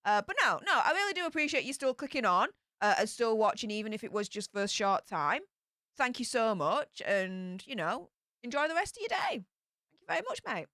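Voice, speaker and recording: A woman's voice: a little high, very animated, fairly quick, bright, forceful tone, dry recording, clean studio sound. A woman with a Yorkshire accent hosting a casual livestream, reading viewer comments aloud and answering them in a chatty way with broad local slang. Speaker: livestream host reading chat. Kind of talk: casual live chat.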